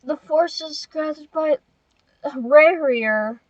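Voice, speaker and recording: A woman streaming a game with casual commentary, reacting and rambling in a halting way. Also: sits high, no echo, lo-fi sound.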